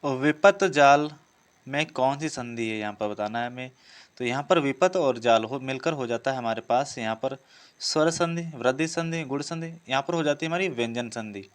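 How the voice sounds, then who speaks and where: Clear-voiced man teaching. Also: medium pitch, moderate pace. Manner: repeats each question before giving the answer. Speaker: teacher presenting exam practice questions. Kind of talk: instructional lesson, working through quiz questions.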